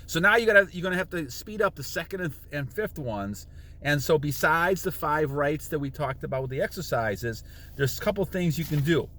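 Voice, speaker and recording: A man's voice: on the high side, lively intonation, brisk, fairly bright tone. A man in his sixties hosting a casual self-help talk, rambling and repeating himself, reading passages from a book and humming chants to demonstrate. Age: 60s. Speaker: channel host.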